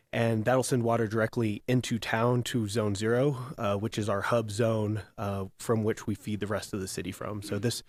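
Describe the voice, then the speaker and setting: A raspy-voiced man answers a commission's questions about water pressure zones. He explains in detail and stops often on fillers. The sound is dry and close. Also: lowish voice, no room sound. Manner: technical, explanatory, many fillers. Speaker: city utilities manager. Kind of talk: answering questions at a public meeting.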